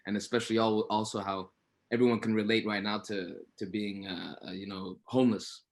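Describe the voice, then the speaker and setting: A fairly low male voice in casual interview chat. He is an actor who doubles back on his words and fills gaps with small conversational tags. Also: level tone, brisk. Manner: repetitive, peppered with "you know".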